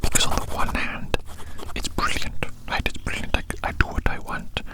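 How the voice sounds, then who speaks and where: Male ASMR creator, fully whispered, intimate close mic, musing and repeating phrases, light scratching sounds alongside. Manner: whispers throughout, repeats phrases slowly and reflectively. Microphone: very close ASMR microphone. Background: scratchy drawing sounds.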